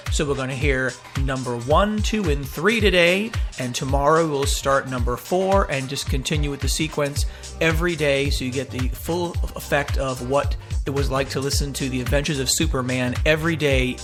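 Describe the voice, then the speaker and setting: A male host gives a casual radio show introduction. His voice sits at an ordinary pitch, with music clearly playing behind it.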